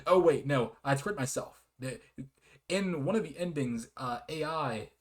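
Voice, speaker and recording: A man's voice: a little high, lively intonation, a little slow. A man giving a casual, rambling game review in a hesitant, apologetic manner with frequent giggles.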